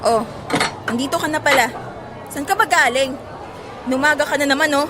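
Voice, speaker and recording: A woman's voice: high-pitched, normal speed, over a noisy crowd, heavy background noise, lightly acted. A woman playing an exasperated, struggling mother and nagging wife in a Filipino audio drama, scolding and sarcastic.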